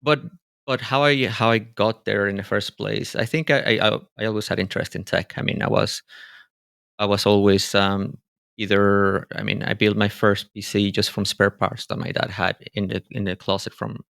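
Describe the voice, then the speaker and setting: A man's voice: on the low side, level tone, normal speed, vocal fry, fairly bright tone, dry recording, clean studio sound. A man in his forties from Venezuela, a guest in a casual interview, talking thoughtfully and rambling with many restarts.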